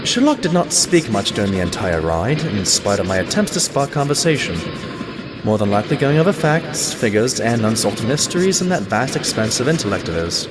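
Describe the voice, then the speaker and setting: A man playing a loyal pony doctor, telling his adventures as scripted, gentlemanly narration in an audio drama. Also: medium pitch, very animated, a little fast, noisy background, lightly acted. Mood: reflective, wondering. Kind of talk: scripted first-person narration.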